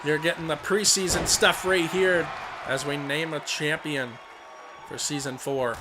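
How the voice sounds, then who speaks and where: A young man calls the action of a wrestling video game in a voice hoarse and worn from a cold, sitting a little high for a man. He gets excited and shouts on the big moves. Steady background noise sits under the home recording. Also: measured pace, crowd noise. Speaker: let's play commentator. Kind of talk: play-by-play wrestling commentary over a video game.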